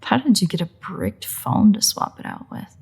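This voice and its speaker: A woman playing a sardonic, predatory vampire in an intimate roleplay audio drama, with a husky, breathy voice and a playful, drawling delivery. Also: medium pitch, very animated, normal speed, darkish tone, heavily acted. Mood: incredulous, sarcastic. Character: teasing, unrepentant female vampire, sardonic and predatory.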